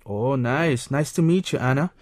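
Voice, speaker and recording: A man's voice: mid-range voice, moderate pace, dry recording, clean studio sound, lightly acted. A man playing a friendly seventeen-year-old schoolboy in a classroom role-play.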